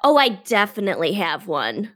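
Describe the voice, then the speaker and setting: A woman's voice: mid-range voice, sing-song, normal speed, a bit bright. A woman from Detroit with a nasal voice, hosting an interview with eager, filler-heavy questions. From Detroit, USA. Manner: enthusiastic, frequent "like" fillers. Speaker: podcast host. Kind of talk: casual interview chat.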